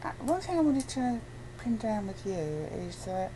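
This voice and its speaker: A woman's voice: mid-range voice, very animated, measured pace, mellow, poor audio. A woman chatting casually and conversationally. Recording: faint background noise.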